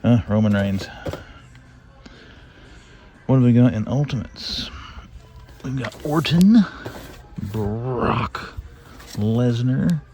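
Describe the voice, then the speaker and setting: A man's voice: medium pitch, expressive, extremely slow, pauses often, noisy background. A middle-aged man with a Southern drawl, chatting to himself as he walks store aisles hunting for toys for his vlog. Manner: chatty, rambling, talks to himself while browsing shelves. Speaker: toy collector vlogger. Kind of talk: casual walk-through vlog.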